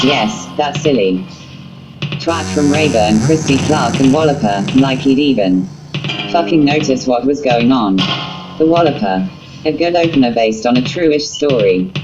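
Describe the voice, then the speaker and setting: A woman reading a scripted story as narrator in a flat, literal, synthetic manner. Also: very deep voice, rough recording. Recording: music under the voice. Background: guitar music.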